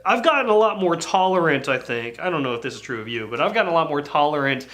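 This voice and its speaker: A man's voice: a little high, animated, fast talker, fairly bright tone. A man in his forties, a co-host in casual chat, explaining things thoughtfully and at length.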